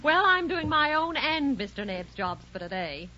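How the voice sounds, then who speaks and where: Casting: wry working mother, high voice, breezy delivery, muffled old radio sitcom recording. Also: big pitch swings, normal speed, bright, forceful tone, heavily acted. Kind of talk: 1940s radio comedy acting. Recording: muffled, faintly noisy 1940s radio recording.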